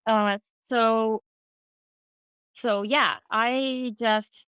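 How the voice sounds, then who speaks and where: A middle-aged woman from Finland, a native Swedish speaker, answers interview questions in a relaxed and thoughtful way, pausing on fillers and starting over now and then. Her voice is bright and forward. The sound is dry and close, with almost no room echo. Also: fairly high pitch, clear, resonant tone, highs cut off. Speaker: interview guest, freelance translator. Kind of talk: casual conversational interview.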